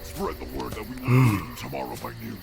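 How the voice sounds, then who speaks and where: A man voicing an authoritative monster leader in a fan comic dub, speaking formally and deliberately in a gravelly voice. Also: mid-range voice, over music. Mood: weary, formal. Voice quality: creaky, gravelly.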